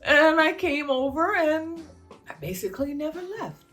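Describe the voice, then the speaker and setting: A woman's voice: high-pitched, very animated, moderate pace, fairly bright tone, soft background music. Chatty American woman in her fifties. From United States. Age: 50s. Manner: chatty and meandering, with frequent fillers. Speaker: vlogger answering viewer questions. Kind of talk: casual Q&A monologue.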